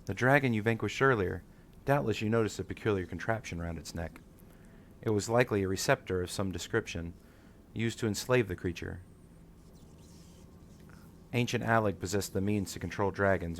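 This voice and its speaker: A man's voice: low voice, a little hiss or hum. A man voicing an earnest, inquisitive scholar in a fantasy game, with formal, musing, theatrical phrasing. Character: earnest, inquisitive scholar exploring ancient ruins. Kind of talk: read-aloud fantasy game dialogue.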